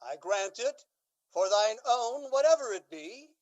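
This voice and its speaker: An actor playing a dignified, magnanimous Duke in a Shakespearean radio drama, speaking in a stately, declamatory manner. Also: male voice, high-pitched, big pitch swings, measured pace, lo-fi sound. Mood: gracious. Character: dignified, magnanimous noble Duke, an indulgent father. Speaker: amateur stage actor.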